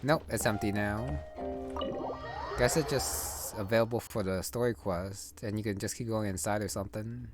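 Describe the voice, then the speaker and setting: Dude chatting thru a game, deep-ish, kinda musing to himself, game music going. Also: male voice, normal speed. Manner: musing, thinks aloud. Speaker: gaming youtuber. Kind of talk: casual game commentary.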